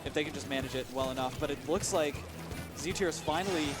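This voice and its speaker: A man casting a live esports match at a big venue, excitable and fast with his calls, his voice worn and hoarse from shouting. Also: a little high, over loud music, poor audio. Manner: excitable, rapid play-by-play with exclamations. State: losing his voice. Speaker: esports commentator. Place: large tournament venue.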